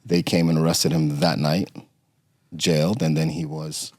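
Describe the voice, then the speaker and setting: Middle-aged man guest from Romania, low voice, halting storyteller, dead-dry mic. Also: flat delivery, a little mellow, no echo. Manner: halting, many fillers and restarts. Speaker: podcast guest. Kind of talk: conversational interview storytelling.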